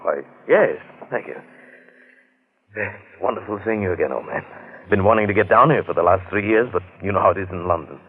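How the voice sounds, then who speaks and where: A man playing a genteel, curious London businessman in an old-time radio drama, with expressive, old-fashioned phrasing. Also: low voice, brisk. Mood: friendly. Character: John Buchan, affable curious London businessman recounting his strange tale. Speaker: narrator and lead actor. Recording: muffled vintage radio drama recording.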